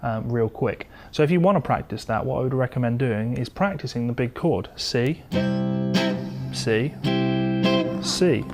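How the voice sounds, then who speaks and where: A male guitar instructor talks through a lesson in an informal, methodical way. His voice sits at an average pitch for a man. Prominent guitar playing accompanies his explanations. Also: expressive, unhurried. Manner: explains step by step, talking between demonstrations. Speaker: guitar teacher. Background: electric or acoustic guitar being played.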